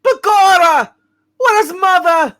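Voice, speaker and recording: A man acting a cheerful, boyish younger brother in a hammed-up amateur reading, chatty and halting. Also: extremely high pitch, animated, bright, forceful tone, full character voice. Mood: excited.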